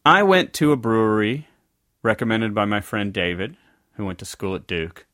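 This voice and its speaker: Low-voiced man chatting on a dry mic. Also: moderate pace, fairly bright tone, dry recording. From American South. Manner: rambling, self-correcting storyteller. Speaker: podcast co-host. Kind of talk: casual conversational podcast chat.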